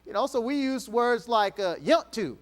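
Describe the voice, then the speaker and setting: A man from Texas giving a motivational keynote speech to an audience, playful and joking, slowing down for emphatic lines. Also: very high voice. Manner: animated, dramatic pauses, slow emphatic dictation. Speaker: motivational speaker.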